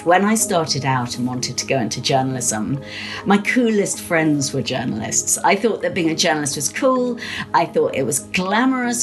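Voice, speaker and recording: A woman in her late fifties or older, giving a reflective personal account as an interviewee. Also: fairly low pitch. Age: late 50s or older. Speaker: interviewee, career-changer teacher and former journalist.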